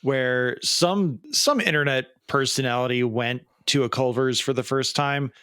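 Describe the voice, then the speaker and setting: A man's voice: medium pitch, measured pace, fairly bright tone, clean tone, dry recording, studio quality. A man in his late thirties with an American Midwestern accent, co-hosting a podcast in casual chat with sardonic jokes. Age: late 30s. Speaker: podcast co-host.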